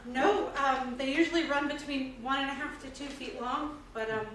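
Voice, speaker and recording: A woman's voice: high-pitched, steady tone, normal speed, crowd noise, natural voice. Woman acting hesitant, dry-witted woman, very echoey. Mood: explanatory. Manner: hesitant, explanatory. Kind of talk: staged play reading. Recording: distant, echoey, noisy stage recording.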